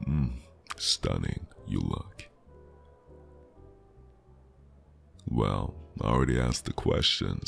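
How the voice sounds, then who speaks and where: A man playing a flirty, charming stranger in an intimate, teasing ASMR roleplay, with a deep, gravelly voice and a lingering delivery. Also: heavily acted. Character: flirty charming male stranger at New Year's party.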